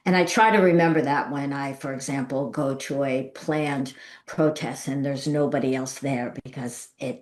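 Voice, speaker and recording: American woman podcast host, really really low voice, chatty and blunt, says what she thinks. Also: reverberant. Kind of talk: conversational interviewing.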